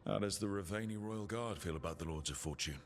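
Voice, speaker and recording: A man's voice: low-pitched, fast, slight background noise. A man playing an easygoing, inquisitive adventurer in a video game, conversational and dryly playful. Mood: curious. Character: easygoing, curious male adventurer from an assassin guild. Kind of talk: video game dialogue acting. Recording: music under the dialogue. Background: game music.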